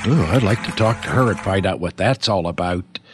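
A man's voice: sits low, brisk, soft background music. A man hosting a casual interview, chatty and chuckling as he introduces and questions his guest. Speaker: host.

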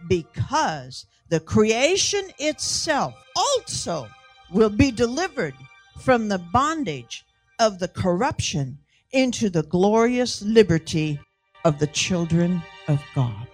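A woman in her sixties preaching a sermon emphatically and deliberately, in short phrases with pauses. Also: mid-range voice, sing-song, faint music behind. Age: 60s.

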